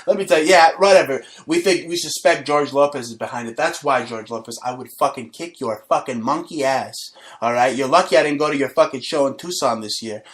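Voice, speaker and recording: A man's voice: mid-range voice, fairly quick. A man hosting a talk show, ranting aggressively with lots of swearing and telling a boastful story from his school days. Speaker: show host. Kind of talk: profane comedic rant and storytelling.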